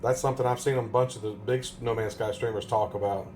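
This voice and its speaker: A man's voice: medium pitch, steady tone, fast talker, darkish tone, faint music behind. A man with a Tennessee Southern accent, chatting casually and jokingly with viewers during a game live stream. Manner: joking, rambling, chuckles often.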